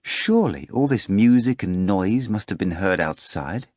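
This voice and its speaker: A man's voice: fairly low pitch, expressive, measured pace, a little mellow, no room sound, studio quality. Man voicing an earnest young woman.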